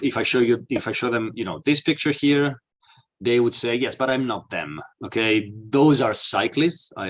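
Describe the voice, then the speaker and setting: An Italian-accented man presents over a webinar, speaking in a fairly low voice. He explains earnestly, stopping on "uh" and restarting his sentences. It sounds like a computer microphone on a video call. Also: fairly bright tone. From Italy. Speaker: webinar presenter, mobility consultant.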